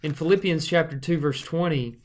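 A man's voice: fairly high pitch, fairly quick. A man preacher co-hosting a casual Bible study podcast, thinking aloud with fillers and restarts.

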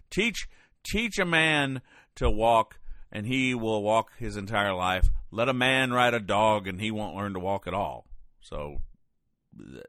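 A man hosting a comedy podcast, reading comic strips aloud in a dry, wry, deadpan way. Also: lowish voice, dry recording. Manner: dry, wry, reads characters' lines aloud.

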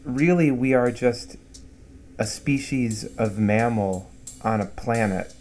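A man's voice: mid-range voice, steady tone, slow, a little mellow. A man hosting an interview, musing thoughtfully and philosophically. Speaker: interviewer host. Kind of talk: conversational interview.

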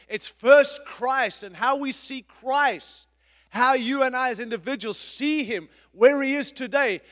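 A middle-aged man, a pastor, preaching a sermon in an emphatic, repetitive style with big swings in loudness. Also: very high voice, big-room sound. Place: church.

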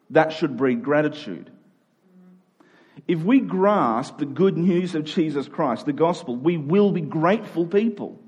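A man's voice: a little high, a little mellow, husky. A man preaching a conversational sermon, earnest, repeating key phrases for emphasis.

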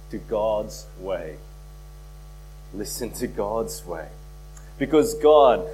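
Hoarse pastor with a cold, man. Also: lowish voice, a little mellow, a little hiss or hum, low-quality recording. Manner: earnest, repeats key phrases for emphasis. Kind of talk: sermon. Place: church.

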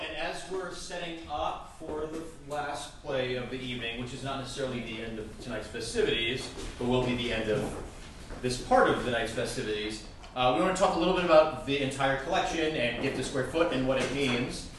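Man, live event host, rambling and wry, medium pitch, distant echoey recording with clear noise. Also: normal speed. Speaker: host of live holiday play reading. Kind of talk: informal stage announcements to an audience. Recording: very echoey live room, distant mic, noisy.

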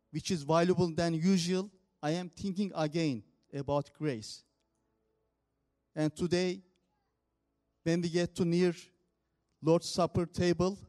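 A man whose first language is Turkish, speaking English with a Turkish accent as he leads communion in church with an earnest, simple, unhurried manner. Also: fairly high pitch, darkish tone. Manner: earnest, simple phrasing with pauses. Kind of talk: communion meditation and prayer. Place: church service.

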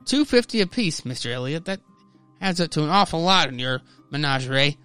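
Man playing a hesitant officer, higher pitched. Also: sing-song, a little fast, pushed and bright, clean tone, faint music behind. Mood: official, hesitant. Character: apologetic officer serving notice of pet license law. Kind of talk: comic radio play reading.

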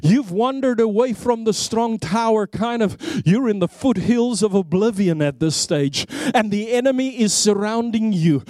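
A man evangelist preaching a sermon to a congregation, emphatic and repetitive, asking rhetorical questions and dropping his voice low on key phrases. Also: darkish tone, no room sound, clean studio sound. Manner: emphatic, repeats words, swings between rising and low dropped phrases; explains Hebrew names of God with rhetorical questions.

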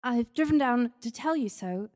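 A man's voice: very high voice, lively intonation, moderate pace, long reverb tail, voice pushed up. A man reading an audiobook, voicing an eager young woman who pleads breathlessly and insistently. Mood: pleading. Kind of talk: audiobook character voice.

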